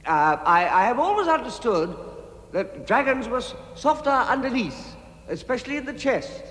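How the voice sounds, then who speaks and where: An actor playing a small, nervous but cheeky hobbit burglar in a vintage radio drama, stammering and excitable. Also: male voice, very high-pitched, moderate pace, full character voice. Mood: nervous flattery. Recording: reverberant hall, noisy vintage radio recording.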